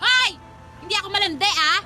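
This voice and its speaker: A defensive, backbiting teenage girl in a radio drama. The voice is very high and animated, whiny and quick to take offense, with clear music behind it. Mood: indignant.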